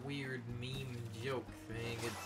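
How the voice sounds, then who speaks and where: A young man co-hosting a let's play, chatting casually in halting phrases. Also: mid-range voice, flat delivery. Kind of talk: casual gaming commentary. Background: video game music.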